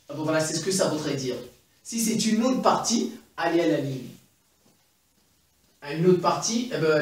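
A middle-aged man with a Central African French accent giving an animated chalkboard lesson, repeating points with many fillers. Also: sits high, distant mic. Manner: animated, gesturing, repeats points with frequent "eh ben, voilà". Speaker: teacher. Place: classroom in front of a chalkboard. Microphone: far camera. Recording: very echoey room, voice from afar.

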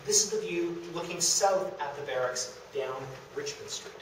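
Male history lecturer, slightly high voice, very echoey room, picked up from a distance. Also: normal speed, slight background noise. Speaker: lecturer presenting a history talk. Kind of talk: illustrated public lecture. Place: indoor meeting room of a historic house. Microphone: camera across the room, far from speaker.

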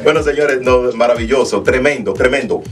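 A Dominican man with a Dominican accent hosting a radio interview, playful and quick with his questions in a small studio. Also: mid-range voice, recorded from a distance, faint music behind. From Dominican Republic. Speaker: host. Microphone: studio mic with headphones.

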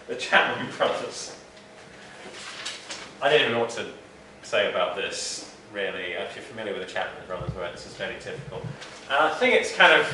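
A man's voice: mid-range voice, bright, forceful tone, a little hiss or hum. A man in his forties from England giving an informal, rambling, self-deprecating talk about his artwork to an audience in a small gallery, recorded from a distant camera. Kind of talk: informal public talk with slides. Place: small dim gallery room. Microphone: far camera, no mic. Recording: roomy echo from far off.

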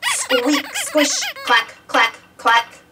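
Very high, sing-song woman reading to children. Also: wildly swooping pitch, drawn-out words, distant mic, background noise. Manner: exclaiming, question-led address to young children. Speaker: storybook reader. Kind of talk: animated children's read-aloud.

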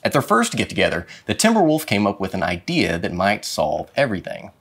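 A man reading a children's picture book aloud with dramatic, expressive phrasing. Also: on the low side, a little fast, breathy edge. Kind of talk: expressive storybook read-aloud. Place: indoor studio with plain dark backdrop.

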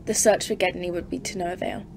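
A woman playing a grave, resolute professor in an audio drama, narrating in formal, measured, literary speech. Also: fairly low pitch, fairly even intonation, gravelly, a little hiss or hum. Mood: grave, haunted. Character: grave female professor leading an Antarctic expedition, haunted and resolute. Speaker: narrator and lead in an audio play. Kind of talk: dramatic scripted narration and dialogue.

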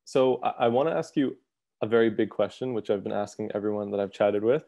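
A young man from Canada hosting a literary journal's interview over a video call, asking questions in a friendly, relaxed way full of fillers. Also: fairly low pitch, a little fast, gravelly, highs cut off. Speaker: host, co-editor of a literary journal. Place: home room. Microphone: earphone mic on video call.

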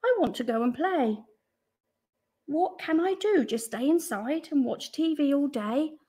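Young storybook lad played by a woman: eager, exclaiming, raised pitch. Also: moderate pace, a little mellow, natural voice. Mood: whining.

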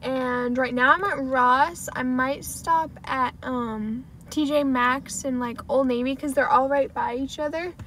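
A young American woman with a high voice chats casually in a vlog recorded inside a car. Her talk is informal and chatty, sprinkled with "like" as she explains things to the camera. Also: steady tone, measured pace, few pauses, fairly bright tone, slight background noise. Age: 20s. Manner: chatty, frequent "like" as filler. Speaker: salon assistant vlogger.

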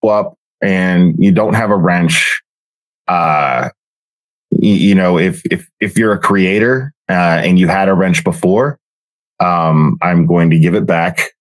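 A man livestreaming a casual monologue in a halting, hesitant, rambling way. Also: deep voice, dry recording. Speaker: livestreamer, online creator.

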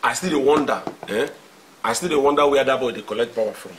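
A man's voice: medium pitch, fast talker, a little hiss or hum, natural voice. A man with a Nigerian accent playing a blunt, questioning church underling. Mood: wondering.

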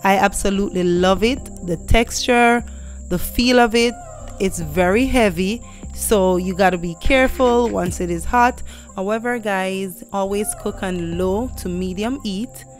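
Young woman, mid-pitched, upbeat chatty vlogger on a lapel mic, faint music under her voice. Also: unhurried. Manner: upbeat, chatty, addresses viewers directly. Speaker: lifestyle vlogger. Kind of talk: casual product unboxing. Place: home kitchen, indoors. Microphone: clip-on lapel mic.

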